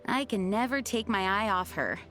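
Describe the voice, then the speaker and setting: A woman's voice: mid-range voice, expressive, moderate pace, fairly bright tone, soft background music, close to an everyday voice. A woman playing a dutiful, earnest young knight in a video game, speaking formally and with composure. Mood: warm, reflective. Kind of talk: video game character voice lines.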